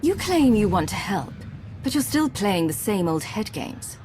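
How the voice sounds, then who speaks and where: An actress playing a guarded, strong-willed military commander who speaks directly and challengingly in a video game. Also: female voice, medium pitch, very animated, unhurried, darkish tone, a little hiss or hum. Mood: indignant.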